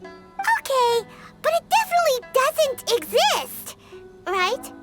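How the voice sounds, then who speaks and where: A woman voicing a tiny, inquisitive childlike sidekick in a video game, with a squeaky voice and an eager, naive manner. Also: big pitch swings, slow, heavily acted. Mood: curious. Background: loud background music.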